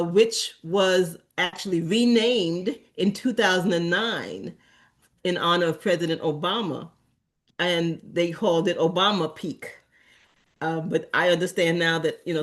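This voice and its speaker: A woman's voice: fairly low pitch, normal speed. A middle-aged woman from Antigua speaks casually to a community gathering. Her talk wanders, broken up by frequent ums and restarts. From Antigua and Barbuda. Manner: meandering, with many fillers and restarts. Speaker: community presenter at an association meeting. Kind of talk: casual informal talk to a meeting.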